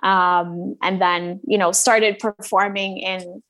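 A woman's voice: mid-range voice, flat delivery, slow pace, fairly bright tone, low-quality recording. Hesitant Scottish-born woman, North American accent. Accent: North American with slight Scottish. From Scotland. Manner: hesitant, many ums and restarts. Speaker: singer being interviewed. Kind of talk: casual interview chat.

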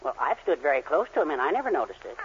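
A man's voice: a little high, big pitch swings, rapid-fire. An actor playing a meek, dryly sarcastic middle-aged father in a 1940s radio sitcom. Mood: dry henpecked. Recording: dull 1940s radio broadcast recording with faint hiss.

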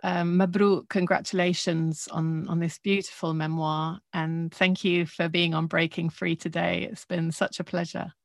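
A woman's voice: fairly low pitch, little pitch movement, moderate pace, vocal fry, hardly any pauses, no room sound, clean studio sound. A woman hosting a podcast interview, chiming in eagerly and praising her guest. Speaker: podcast host. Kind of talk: interview chat.

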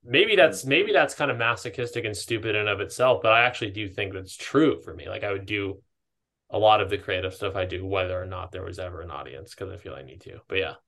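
A man with a fairly low, bright and forceful voice hosts a reflective podcast chat. He thinks aloud in a halting way, circling back to rephrase as he goes. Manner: halting, thoughtful, hedges and rephrases ideas. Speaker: podcast host. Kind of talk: casual reflective podcast conversation.

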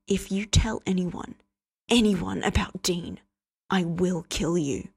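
A woman's voice: lowish voice, fairly even intonation, slow, creaky, a little mellow, slightly rough, full character voice. A woman reading a furious, cornered young man. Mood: menacing. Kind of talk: storybook reading.

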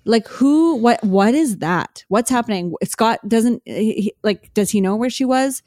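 A woman in her thirties co-hosting a podcast, chatting casually and telling stories in a lively way with lots of "like.". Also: a little high, a little slow, no echo, clean studio sound. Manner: chatty, animated storytelling with many "like" fillers. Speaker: podcast co-host. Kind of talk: casual chat.

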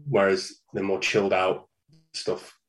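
A man's voice: low voice, flat delivery, measured pace, poor audio. Easygoing Manchester man on a video call. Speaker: band singer, guest. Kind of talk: casual interview chat.

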